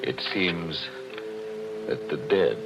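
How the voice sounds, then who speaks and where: Deep-voiced, brooding haunted by memories. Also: male voice, drawn-out words, vocal fry, heavily acted. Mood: haunted, nostalgic. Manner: wistful, theatrical delivery. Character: Joe Parker, brooding nostalgic man haunted by old memories. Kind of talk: 1940s radio acting. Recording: dull vintage radio recording with music.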